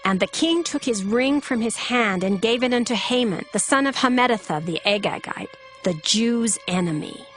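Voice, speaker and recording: A woman giving solemn, measured dramatic narration of scripture, with music behind her. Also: a little high, expressive, a bit bright. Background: background music.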